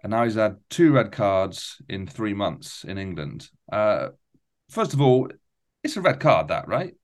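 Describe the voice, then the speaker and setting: A man's voice: lowish voice, sing-song, measured pace, no room sound, clean studio sound. A man hosting a podcast, chatting casually about football. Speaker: podcast host.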